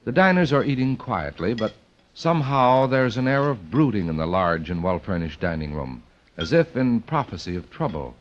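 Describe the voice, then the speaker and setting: A man delivering scripted old-time radio narration in a deliberate, dramatic manner. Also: fairly low pitch, very animated. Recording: dull, lo-fi old radio recording.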